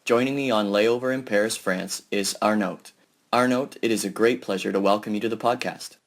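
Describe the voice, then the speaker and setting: A man hosting a podcast, giving a measured, announcer-like welcome and then asking friendly interview questions. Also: lowish voice, gravelly. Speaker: podcast host. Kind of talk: scripted intro then casual interview.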